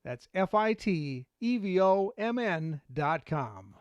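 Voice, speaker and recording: A man's voice: high voice, big pitch swings, takes it slowly, clear, resonant tone, no echo, lo-fi sound. A man with an American accent reading scripted radio commercials. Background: music.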